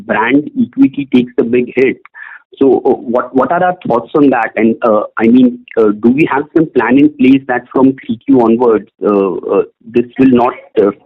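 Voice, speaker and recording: Man, analyst, very creaky rough voice, business questions, narrow phone-line audio. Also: mid-range voice. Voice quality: gravelly, rough. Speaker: financial analyst asking questions.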